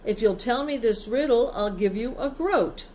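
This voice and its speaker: A woman's voice: on the high side, animated, moderate pace, a little mellow, slight background noise, pitched above the performer's own voice, lightly acted. Woman as a cheeky singsong little squirrel. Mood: teasing, playful. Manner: singsong rhyming chant. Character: cheeky impertinent little male squirrel chanting riddles.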